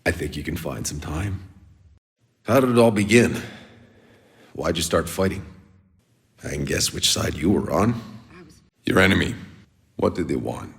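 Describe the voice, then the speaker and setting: Deep, gravelly, world-weary soldier. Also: male voice, expressive, measured pace, long pauses, in a large hall, exaggerated voice. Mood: demanding, tense. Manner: blunt, sardonic, casual and profane. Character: gruff, world-weary sardonic male soldier and survivor. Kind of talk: video game character dialogue.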